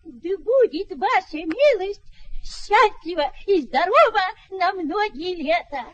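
A folksy village petitioner in a radio comedy. Her very high voice swoops about as she chatters, ingratiating and aggrieved. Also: lively intonation, takes it slowly, heavily acted. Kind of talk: comic radio play acting.

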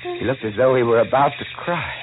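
A man's voice: mid-range voice, expressive, a little fast, background noise, natural voice. An actor playing a haunted lighthouse keeper, telling his horror story with dramatic intensity in old-time radio style. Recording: tinny old radio recording with music.

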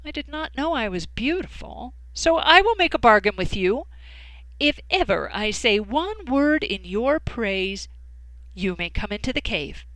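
A woman's voice: on the high side, very animated, measured pace, fairly bright tone, no echo, voice pushed up, rough recording. A woman reading the part of a clever, confident cave woman in a storybook, firm and teasing. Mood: bargaining.

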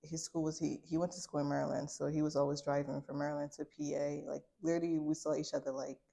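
A woman's voice: sits low, flat delivery, fast talker, gravelly, mellow. A woman in her twenties from Liberia telling a candid personal story in an informal, reflective, conversational way. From Liberia, later United States. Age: 20s. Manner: informal, reflective, frequent use of "like". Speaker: guest sharing a personal testimony.

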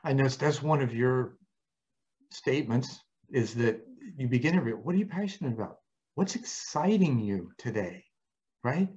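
A male host talks reflectively in a casual interview, in a medium-pitched voice. His delivery is halting, with frequent little tag questions. The audio is dull and lo-fi. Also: animated, a little mellow. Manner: halting, often tags phrases with "right?".

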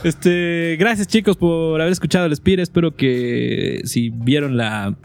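A man's voice: fairly high pitch, measured pace, creaky. A man co-hosting a casual esports podcast, talking informally and often repeating words as he finds his phrasing. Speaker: podcast co-host. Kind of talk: casual chat about esports.

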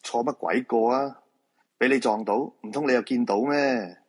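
A man acting a weary, grumpy feng shui master in a Cantonese radio drama, gruff and dismissive, with a Hong Kong accent. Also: mid-range voice, normal speed, natural voice. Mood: irritated.

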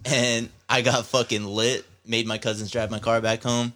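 A man's voice: mid-range voice, level tone, moderate pace, fairly bright tone, slight background noise. A man co-hosting a casual sports podcast, telling stories in laid-back, swearing banter. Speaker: podcast co-host.

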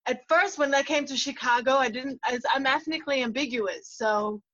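A woman from South Africa, a guest actor, telling her story in a thoughtful, measured way in casual conversation. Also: sits high, bright, forceful tone, dull audio. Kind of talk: casual interview chat.

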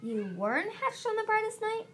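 A woman's voice: extremely high pitch, very animated, slow, dark tone, slight background noise, voice pushed up, full character voice. A woman voicing a desperate young male dragon in a story reading, urgent and pleading, stammering when flustered. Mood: disbelieving. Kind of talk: audiobook-style character reading.

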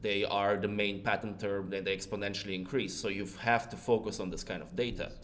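Young male interview guest, from Russia and Sweden, lower-pitched voice, conversational, with audible background rumble. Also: steady tone, fairly quick, a bit bright. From born in Russia, grew up around Europe, partly Sweden. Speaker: guest, patent research client trainer. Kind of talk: casual interview answers. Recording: background rumble, clear noise.

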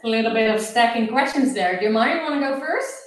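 A Dutch-accented woman speaks as a guest coach, reflective and a little hesitant, pausing on fillers. Her voice sounds distant from the mic and rings in a very roomy space. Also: on the high side, expressive. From Netherlands. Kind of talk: casual interview answers. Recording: roomy audio from far off the mic.

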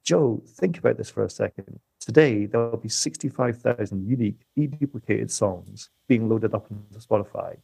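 A British man with a low, creaky voice speaks as an economist in a podcast interview. He sets out his points in an orderly, emphatic way, with rhetorical flourishes. The sound is close and dry. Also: normal speed, few pauses, dark tone, no echo, poor audio. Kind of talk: podcast interview, explanatory argument.

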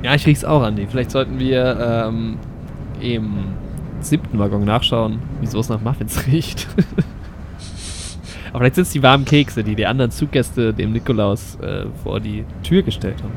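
A man's voice: medium pitch, normal speed, noisy background. A man narrating a half-scripted, improvised audio play as game master, slipping between storytelling and playful role-play. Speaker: narrator and game master, also playing an adventurer.

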